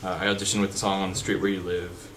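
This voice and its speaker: A young man with a deep voice chatting unscripted in a vlog, rambling with fillers and restarts. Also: level tone, racing. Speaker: vlogger. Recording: background noise.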